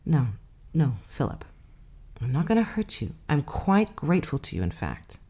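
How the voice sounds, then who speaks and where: A woman acting in an audio drama as an enigmatic hitchhiker, an unflappable collector who speaks in a measured, cryptic, knowing way. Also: low voice, very animated, darkish tone, husky, heavily acted. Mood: sly menace.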